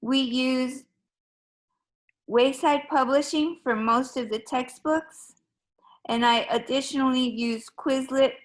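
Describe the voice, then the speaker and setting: Spanish teacher lady from ecuador, slow and careful, kinda high voice, lots of ums. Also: rough recording. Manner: slow, measured and formal, with frequent ums. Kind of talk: recorded open house presentation.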